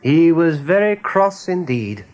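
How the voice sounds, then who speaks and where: An actor playing an articulate, companionable rabbit storyteller in a 1980s radio drama, with a husky voice. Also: male voice, fairly high pitch, sing-song, slow pace, darkish tone, lo-fi sound. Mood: storytelling, playful.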